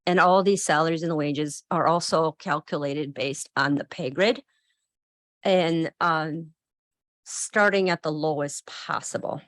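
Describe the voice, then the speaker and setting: A woman presenting a county budget to a board, speaking in a measured way with occasional pauses. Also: low voice, fairly bright tone, no room sound. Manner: measured, reading out figures with "um" pauses.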